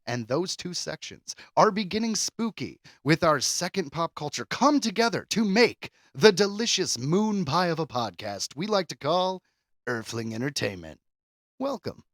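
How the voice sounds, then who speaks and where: A man chats casually as a podcast co-host with a playful, theatrical way of telling things. His voice sits a little high and moves around with lots of animation. The sound is close and dry. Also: very animated, moderate pace, a bit bright, dry recording. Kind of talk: casual comedic podcast chat.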